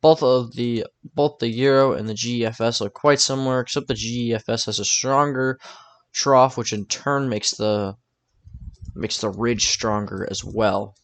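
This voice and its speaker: A man's voice: mid-range voice, fairly even intonation, normal speed. A man talking informally through a weather forecast over maps, thinking aloud in a casual, tentative way. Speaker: weather forecaster presenting his own video.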